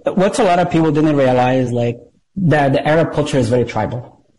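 A man from Egypt, telling his story as an interview guest in plain, halting English with frequent restarts. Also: on the high side, darkish tone, poor audio. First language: Arabic. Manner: plain-spoken, drops small words, frequent restarts. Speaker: guest, former intelligence operative.

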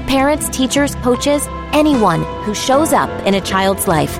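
A woman narrating a foundation ad in a lively way. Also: on the high side, measured pace, over music.

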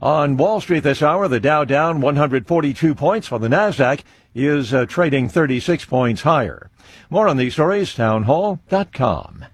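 Husky male radio newsreader. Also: fairly high pitch, expressive, normal speed, dry recording. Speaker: news anchor. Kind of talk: radio news headlines.

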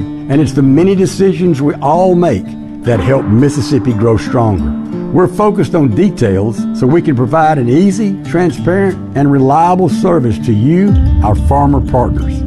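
A man reading a radio advertisement in an earnest corporate tone. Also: medium pitch. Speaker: ad announcer. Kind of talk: radio ad read.

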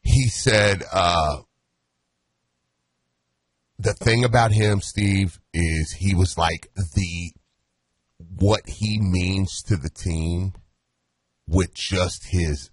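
Low, husky male host, excitable casual sports chatter, dry sound. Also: very slow, pauses often, no echo. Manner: excitable, stammering restarts.

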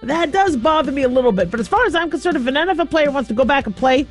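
A woman's voice: high voice, lively intonation, fast, faint music behind. A woman hosting a call-in radio talk show, talking in a loose, rambling way with many restarts and fillers.

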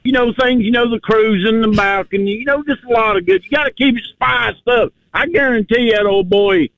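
A rambling man with a twang and a gravelly, fairly high voice. He fills every pause with you-knows. The sound is dry and a little dull. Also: dry recording. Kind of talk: casual chat.

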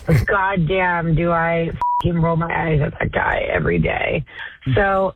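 A woman with a low raspy voice leaving a brash, profane, rambling voicemail as a caller. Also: measured pace, no room sound. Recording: tinny phone voicemail.

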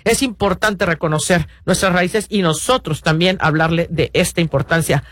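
High-pitched guy on the radio, rambling on earnestly, lots of ums, dry close sound. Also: normal speed, a bit bright, no room sound. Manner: earnest, rambling, many restarts and fillers. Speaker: radio host. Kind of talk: casual radio talk to parents.

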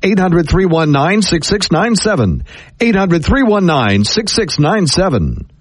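Urgent, husky radio ad announcer. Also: male voice, big pitch swings, measured pace. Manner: urgent, pushy sales pitch. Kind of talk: scripted radio commercial read.

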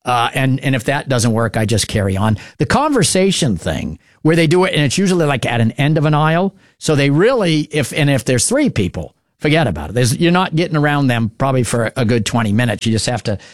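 A man's voice: mid-range voice, very animated, fairly quick, hardly any pauses, no echo, studio quality. A man hosting a call-in radio show, chatting in a rambling, easygoing way and laughing often. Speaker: radio talk show host.

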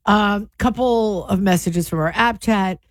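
A hoarse woman co-hosting a radio show, trading wry banter and reading out listener messages from the studio. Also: medium pitch, unhurried, no room sound. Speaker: radio co-host. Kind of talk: casual morning radio banter. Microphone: studio microphone.

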